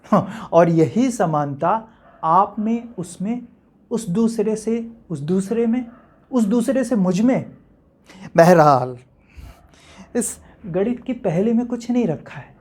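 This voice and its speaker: A man acting a theatrical monologue as an enigmatic, uncertain man who addresses his audience in a reflective, rhetorical manner with deliberate pauses. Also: high voice, expressive, darkish tone, heavily acted. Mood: brooding, questioning. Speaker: actor.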